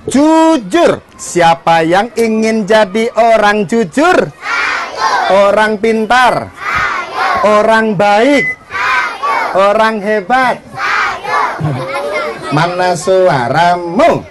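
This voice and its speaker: A woman's voice: mid-range voice, animated, extremely slow, long pauses. A woman storyteller performing live for children, theatrical and interactive, calling out to her audience. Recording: noisy live event with crowd.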